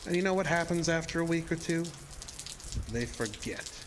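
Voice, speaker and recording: A man's voice: fairly high pitch, lively intonation, normal speed, noisy background, natural voice. A man playing a sinister, seductive illusionist showman in a horror audio drama, speaking in a theatrical, formal and insinuating way. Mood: brooding, seductive. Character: sinister, seductive showman-illusionist, deceptively ageless male villain.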